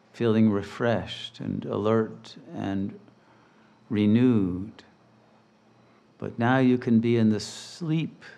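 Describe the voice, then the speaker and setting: A man leading a guided meditation as a teacher, speaking in measured, deliberate, soothing phrases with long pauses. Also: fairly low pitch, dark tone, muffled recording.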